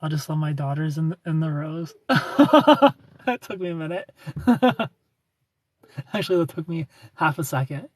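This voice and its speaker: A man's voice: a little high, animated, unhurried, long pauses, no echo. A man in his thirties hosting a casual livestream, reading viewer comments aloud, joking about himself and laughing often. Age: 30s. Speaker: livestream host.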